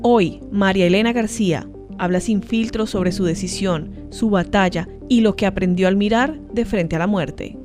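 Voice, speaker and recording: A woman's voice: mid-range voice, moderate pace, music in the background. A woman hosting an interview podcast, reading a scripted introduction and asking encouraging questions. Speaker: podcast host.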